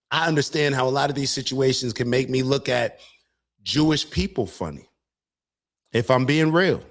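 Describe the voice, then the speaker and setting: A man hosting an online show and giving casual political commentary. He speaks earnestly, talks to viewers as y'all, and often restarts his sentences. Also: medium pitch. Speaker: show host.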